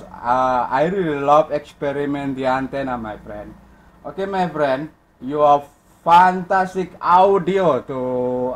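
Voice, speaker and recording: A man with an Indonesian accent speaking as an amateur radio operator, friendly and halting, repeating phrases and spelling out callsigns phonetically. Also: mid-range voice.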